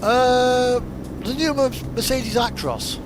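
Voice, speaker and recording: A man's voice: extremely high pitch, takes it slowly, noisy background. A British man chatting casually and rambling to himself as he plays a driving game, swearing now and then. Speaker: gaming streamer. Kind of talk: casual chat while playing a truck driving simulator. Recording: music playing behind the voice. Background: radio music and truck sounds from the game.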